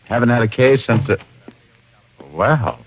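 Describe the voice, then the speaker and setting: A man's voice: mid-range voice, lively intonation, a little slow, slight background noise, lightly acted. A man playing a wisecracking, teasing private detective with glib, sardonic delivery in 1940s radio drama style. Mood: flippant, flirty. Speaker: lead actor. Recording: dull old radio recording.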